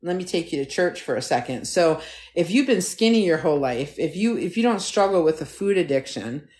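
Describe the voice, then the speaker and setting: Woman in her fifties, lowish voice, blunt, profane, rambling livestream rant, phone mic. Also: animated, darkish tone. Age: 50s. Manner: blunt, profane, fast-talking with restarts. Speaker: TikTok live host.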